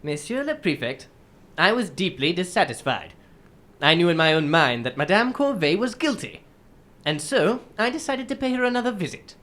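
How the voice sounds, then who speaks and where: A woman plays a shrewd English gentleman detective in a radio drama. The voice is on the low side with very varied intonation, laying out the case in composed, formal sentences. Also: sing-song. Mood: candid, matter-of-fact. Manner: formal, measured storytelling.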